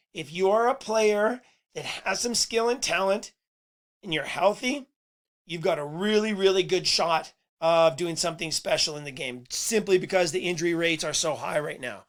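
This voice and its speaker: A man hosting a sports podcast in a casual monologue. His voice is a little hoarse and he talks earnestly, with "uh"s and repeated words. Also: sits high, a bit bright. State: slightly hoarse voice. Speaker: podcast host.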